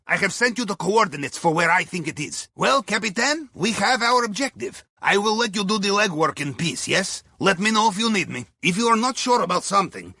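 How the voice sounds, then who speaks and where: Wry, husky Russian submarine pilot. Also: male voice, high voice, bright, forceful tone, dry recording, studio quality, exaggerated voice. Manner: wry, deferential, clipped grammar with dropped articles. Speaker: mission handler on comms. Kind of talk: scripted video game dialogue.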